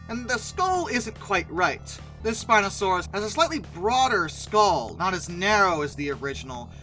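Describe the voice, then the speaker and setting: Lively, bright-voiced video commentator with wry asides. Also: medium pitch. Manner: conversational, wry, playful emphasis and asides. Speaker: video host and narrator. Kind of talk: casual scripted commentary rating film dinosaurs. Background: background music.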